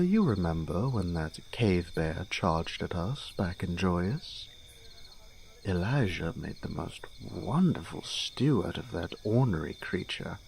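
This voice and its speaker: A man performs an urbane young lord from a fantasy audio drama, teasing and archly formal. His deep voice moves slowly, with a creaky edge. Faint insect noise sits behind him. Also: darkish tone, exaggerated voice. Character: teasing, urbane young nobleman, elder brother in fantasy court.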